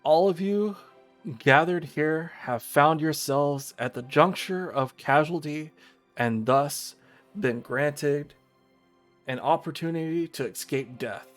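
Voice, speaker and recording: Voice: mid-range voice, slow pace, faint music behind, lightly acted. A streamer voicing a haughty, elegant young goddess of destiny in a visual novel, speaking formally and imperiously. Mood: formal, grand.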